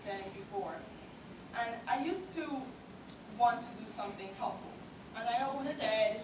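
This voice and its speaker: A woman in her twenties from Cuba, whose first language is Spanish, gives an earnest award speech in English with a Cuban Spanish accent. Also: a little high. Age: 20s. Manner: earnest, with light self-deprecating laughs. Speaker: student award speaker. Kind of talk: prepared acceptance speech. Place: large event hall. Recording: echoey hall recorded from far off, noisy.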